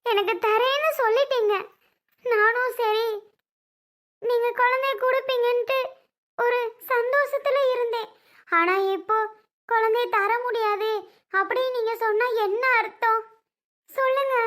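A woman performs a distraught young woman in an amateur family drama. Her voice is extremely high, almost childlike. She pleads insistently and sounds tearful. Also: fairly even intonation, a little slow, long pauses, fairly bright tone. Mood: tearful pleading.

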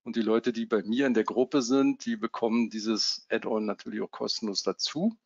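A man in his fifties from Berlin, giving a casual live webinar as a trading software coach, with many fillers. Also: fairly low pitch, normal speed, lo-fi sound. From Germany (Berlin). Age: 50s. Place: home office.

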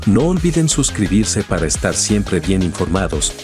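A man's voice: low voice, big pitch swings, normal speed. A man narrating a mocking commentary voice-over, scornful and sarcastic, with music behind. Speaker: channel narrator.

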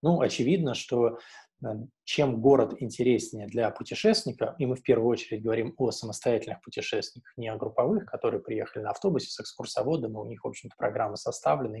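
Man, thirties, webinar presenter, mellow dark tone, creaky voice, explanatory, earphone mic on video call. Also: mid-range voice. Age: 30s. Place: small indoor room, on a video call. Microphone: wired earphone headset on a video call.

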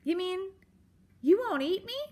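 A woman's voice: very high voice, animated, unhurried, darkish tone, pitched above the performer's own voice. A woman voicing a timid young female storybook mouse, stammering and earnestly pleading. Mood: hesitant, puzzled.

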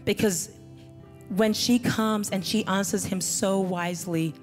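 A woman's voice: mid-range voice, fairly even intonation, a little slow. A middle-aged woman pastor preaching a sermon on a church stage, holding a handheld mic, with earnest emphasis. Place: large church stage with band behind. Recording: reverberant hall with music.

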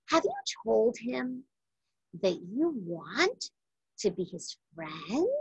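A woman reads a Bible story aloud for children in a bright, slightly husky voice. Her phrasing is deliberate and emphatic, and the sound is close and dry. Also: on the high side, no echo, rough recording.

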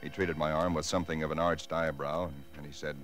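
An actor playing a haunted, brooding American husband in a 1940s radio drama, speaking haltingly and intensely. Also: male voice, very deep voice, flat delivery. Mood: tense dread. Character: haunted, dread-filled husband recounting nameless horrors. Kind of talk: 1940s radio drama acting, first-person narration. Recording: 1940s radio broadcast with loud music.

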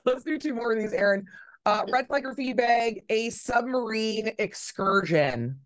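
A woman's voice: on the high side, lively intonation, a little slow, no echo. A woman, a radio host chatting through an interview with lots of fillers and restarts, on a studio mic over a video call. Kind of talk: casual interview with games.

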